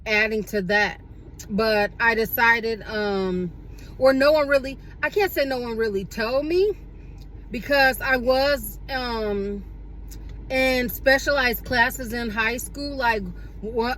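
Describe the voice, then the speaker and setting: A woman's voice: fairly high pitch, a bit bright, a little hiss or hum. Woman in her forties vlogging from a car. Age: 40s. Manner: unhurried, with many fillers and self-corrections. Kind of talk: casual reflective talk to camera. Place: parked car.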